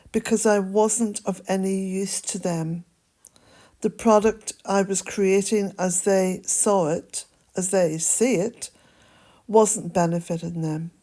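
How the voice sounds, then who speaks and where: Slow, soft-spoken Irish woman. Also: mid-range voice, no echo. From Ireland. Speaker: vlogger. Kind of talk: gentle narrated garden vlog. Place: outdoors in a woodland garden, birds nearby.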